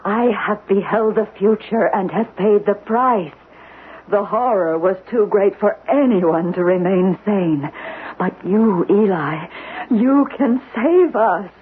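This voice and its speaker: An actress playing a tormented female scientist, a despairing prophet of doom, in halting, dramatic 1970s radio drama style. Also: mid-range voice, lightly acted. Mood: ominous, pleading. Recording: muffled, noisy old radio broadcast.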